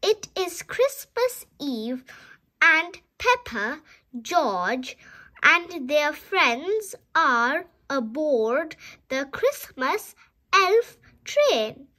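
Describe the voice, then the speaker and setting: High, bright woman reading to children. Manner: animated, deliberate delivery for children. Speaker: storybook read-aloud host. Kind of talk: expressive children's story read-aloud.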